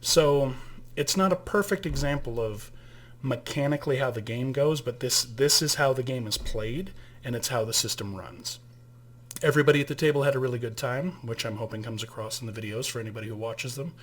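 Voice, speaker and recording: A man's voice: mid-range voice, fairly quick. A man who ran the game, casually explaining a tabletop game's rules and holding up items as he talks, with some restarts along the way. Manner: relaxed, with fillers and restarts, showing items to camera.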